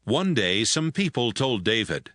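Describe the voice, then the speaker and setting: A man's voice: medium pitch, sing-song, measured pace, a bit bright, no room sound. A man giving scripted narration of a Bible story as the narrator.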